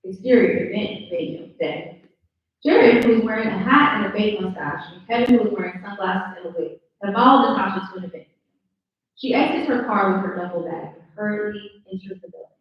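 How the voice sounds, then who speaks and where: A woman narrates screenplay stage directions at a live table read. Her mid-pitched voice comes from far off in a heavily echoing room, slightly dulled. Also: brisk. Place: live indoor table read at a mixer event. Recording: slightly dull, very echoey, distant pickup.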